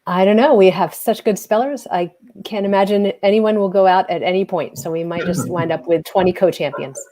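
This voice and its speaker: A woman's voice: lowish voice, brisk, mellow. Chatty woman hosting over a video call. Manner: chatty, laughs often, rambling asides. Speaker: spelling bee host.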